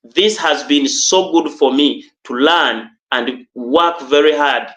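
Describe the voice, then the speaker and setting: A man's voice: fairly high pitch, slow, fairly bright tone, lo-fi sound. A man with a Ugandan accent giving interview answers and personal stories in a formal, emphatic way with repeated phrases. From central Uganda. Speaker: coach and trainer, podcast guest. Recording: echoey room.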